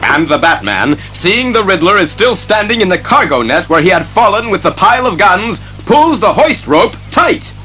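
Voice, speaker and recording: A man's voice: high voice, very animated, moderate pace, hardly any pauses, bright, forceful tone. A man narrating a superhero audio drama in a theatrical, suspenseful scripted style. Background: dramatic music.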